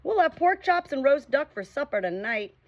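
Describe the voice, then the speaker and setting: A woman's voice: big pitch swings, moderate pace, dull audio. A woman doing a gloating, blustering pirate captain. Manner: loud, taunting, high-pitched bluster.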